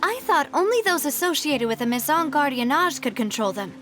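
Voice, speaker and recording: A woman's voice: sits high, very animated, fairly quick, a bit bright, soft background music. A woman playing a proud, determined young noblewoman in a video game, with pointed, polished, theatrical phrasing. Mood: probing.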